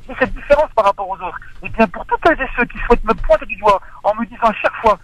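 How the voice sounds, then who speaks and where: A man's voice: high voice, animated, rapid-fire, a bit bright, slight background noise. A man calling in to a radio talk show, speaking earnestly and stressing key words over a phone line. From Morocco. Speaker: radio caller.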